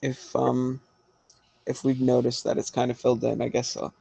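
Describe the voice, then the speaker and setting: A man's voice: medium pitch, monotone, moderate pace, creaky, warm tone, slightly rough, dry recording, rough recording. A man playing a tabletop game over voice chat, describing his actions before speaking in character. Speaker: player.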